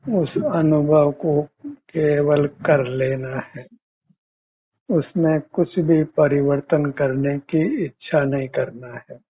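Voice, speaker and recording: A man leading a guided meditation as a teacher, giving measured instructions with frequent pauses. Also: on the high side, steady tone, mellow, husky. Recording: muffled, phone-like narrow-band audio.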